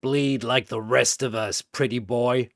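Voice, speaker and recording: A scrappy clawed brawler played by a male narrator. He is gruff, blunt and mocking, taking his time over each taunt in a dry comic-book story recording. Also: mid-range voice, steady tone, measured pace, a bit bright, dry recording.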